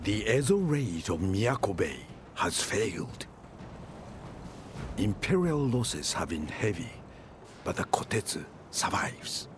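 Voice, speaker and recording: A man acting as the solemn narrator of a historical strategy game, telling a war chronicle in a measured, grave, dramatic way. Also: lowish voice, sing-song, background noise. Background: prominent music.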